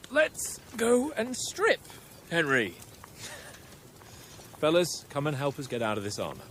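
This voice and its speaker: A man's voice: a little high, huge pitch swings, unhurried, fairly bright tone, slight background noise, full character voice. A man playing a brash, carefree young nobleman in a video game, with a cocky, theatrical, exclamatory delivery. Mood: cocky, impatient.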